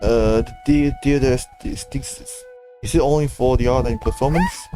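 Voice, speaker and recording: A woman livestreaming a game, chatting casually and reading bits aloud in a halting, muttering way. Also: extremely low pitch, warm tone. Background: faint game music.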